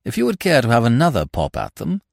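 A man voicing a suave young gentleman in an audiobook, with a smooth, grave, mock-formal manner. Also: medium pitch, huge pitch swings, moderate pace, no echo, clean studio sound, voice pushed up. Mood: polite, composed. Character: suave, unflappable young English gentleman with florid courtesy.

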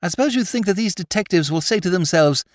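A man voicing a witty, sardonic gentleman rogue who lectures his sidekick with theatrical irony. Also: sits high, expressive, quick pace, no room sound, clean studio sound, voice pushed up. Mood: sarcastic. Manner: ironic, theatrical, exasperated tirades.

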